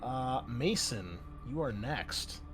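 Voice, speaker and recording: A man with a medium-pitched voice works as game master at a tabletop role-playing session. He narrates casually and places deliberate pauses for drama, with music clearly playing behind him. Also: animated, a bit bright.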